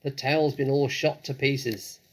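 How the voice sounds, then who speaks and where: A man voicing a bossy, impatient mistress of the house in a storybook, calling out loudly and snappishly. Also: medium pitch, fairly even intonation, a little slow, pitched above the performer's own voice, lightly acted, rough recording.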